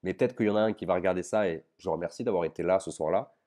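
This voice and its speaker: A man's voice: deep voice, very fast, mellow. A young man talking straight to the viewer in a casual, slangy vlog about his business setbacks. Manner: informal, slangy, addresses viewer as tu. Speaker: online business vlogger. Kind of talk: casual direct-to-camera confession.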